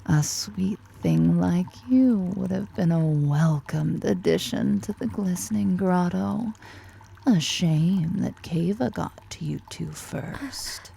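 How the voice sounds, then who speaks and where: A woman's voice: slow pace, mellow, full character voice. A woman playing a powerful, predatory drider ruler in an ASMR roleplay, half-whispering with teasing, flirtatious menace. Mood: sly, imperious. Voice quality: breathy, half-whispered, creaky low voice.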